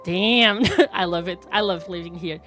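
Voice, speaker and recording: A woman's voice: on the low side, unhurried, a bit bright, soft background music. Chatty Portuguese woman, laughing streamer. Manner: chatty, laughs often, restarts words. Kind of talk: casual live-stream chat.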